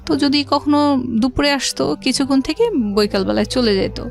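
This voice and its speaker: A middle-aged Bangladeshi woman tells a personal story as an interview guest. Her voice is on the high side, and she talks conversationally with English words mixed in. Faint music plays behind her. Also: moderate pace, gravelly. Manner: conversational, quotes past dialogue, mixes in English words. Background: background music.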